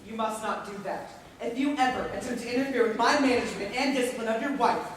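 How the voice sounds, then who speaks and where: Young actress as a stern, fast-talking asylum superintendent. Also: female voice, on the high side, lightly acted. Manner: commanding, formal. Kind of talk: school stage play acting. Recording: distant echoey school auditorium, noisy.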